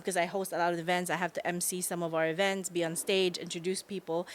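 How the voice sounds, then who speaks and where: A woman's voice: lowish voice, a bit bright. A young woman from the Philippines, a marketing director, chatting as an interview guest in a bubbly way and laughing often. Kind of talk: casual interview chat.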